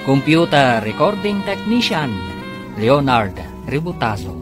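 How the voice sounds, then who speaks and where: Guy announcing an ilocano radio drama, very animated, music playing behind. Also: mid-range voice, unhurried. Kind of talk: dramatic scripted radio narration. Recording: music bed under narration.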